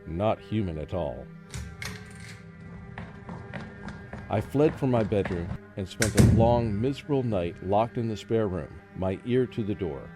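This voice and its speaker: A man acts out a frightened professor in a radio horror drama. His voice sits low, halting and brooding, with music playing clearly underneath. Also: lively intonation. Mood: haunted. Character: frightened haunted male college professor recounting a curse.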